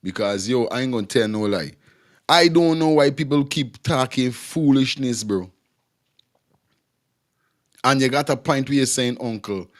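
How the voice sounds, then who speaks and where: A man's voice: mid-range voice, measured pace, pauses often. Laid-back man hosting a call-in show. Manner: laid-back, calls the caller "Uncle", hurries callers along. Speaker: talk show host.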